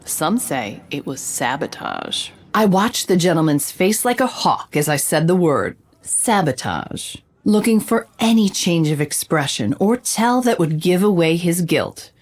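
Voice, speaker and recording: A woman playing an inquisitive reporter who narrates her case like a noir sleuth, in deliberate dramatic audio drama style. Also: lowish voice, big pitch swings, heavily acted. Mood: probing.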